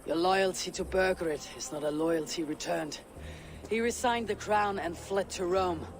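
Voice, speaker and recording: An actor playing a calm, firm male Viking warrior leader in a video game. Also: high-pitched, a little slow, over music. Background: game score.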